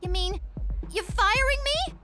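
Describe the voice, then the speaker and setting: A woman's voice: very high-pitched, animated, unhurried, over music. A woman voicing a spoiled, whiny teenage daughter who melodramatically sulks and begs. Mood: indignant.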